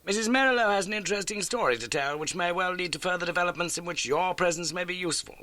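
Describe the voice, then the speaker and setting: A man voicing a brisk, courteous gentleman detective in an audiobook, questioning in precise, formal phrases. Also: high-pitched, no echo, voice pushed up. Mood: brisk, inquisitive. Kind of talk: audiobook character acting.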